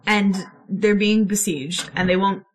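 A woman's voice: medium pitch, fairly even intonation, a little slow. A woman co-hosting a casual chat, wryly summarizing a play and paraphrasing its characters in modern slang. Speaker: podcast co-host.

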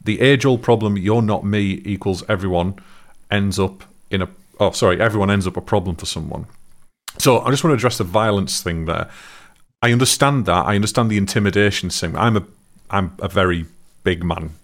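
A man's voice: on the low side, brisk. A man talking things through in a casual chat, earnest and thoughtful, often restarting his sentences.

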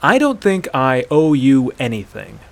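A man's voice: mid-range voice, very animated, fairly quick. A man voicing a stubborn, sarcastic young hunter in a dramatic fantasy story, blunt and incredulous in his challenges. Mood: dead serious. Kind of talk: dramatic fantasy audiobook character voice.

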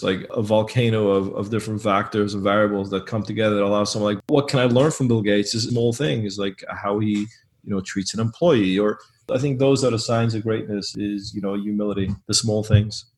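A man being interviewed as a business owner, talking casually in a loud, emphatic way that often repeats words. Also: lowish voice, fairly even intonation, a little fast.

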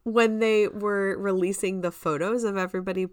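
A woman's voice: mid-range voice, fairly even intonation, moderate pace, smooth, no echo, studio quality. A woman co-hosting a podcast, chatting casually in a storytelling way and quoting people's reactions as she goes. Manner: conversational storytelling, acts out quoted reactions. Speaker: podcast co-host. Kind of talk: casual chat about musical theater.

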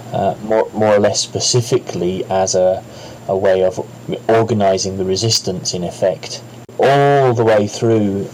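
Halting man with a low voice. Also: a little mellow, a little hiss or hum, poor audio. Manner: halting, many restarts. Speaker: interviewed expert. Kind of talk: unscripted interview answers.

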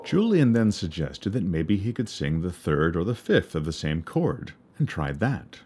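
A man with a baritone voice narrating a personal story in a wry, measured way. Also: very animated, a little mellow, a little hiss or hum. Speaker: podcast narrator and musician.

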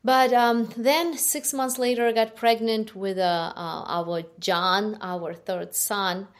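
A middle-aged woman from Ukraine with a Ukrainian accent, giving her personal testimony as a guest in a slow, halting, soft-spoken way. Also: medium pitch, steady tone.

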